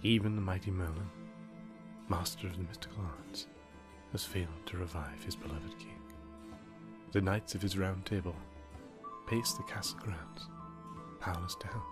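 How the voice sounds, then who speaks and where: A man giving a solemn, hushed storytelling narration in a grave, drawn-out ASMR manner. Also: sits low. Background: plucked string music.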